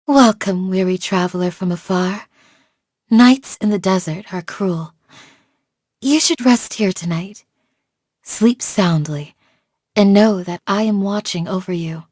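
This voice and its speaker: A woman playing a calm, devoted village guardian in a video game, speaking in a measured, formal, gently solemn way. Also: medium pitch, dry recording, clean studio sound. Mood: calm, protective. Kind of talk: scripted game character voice lines.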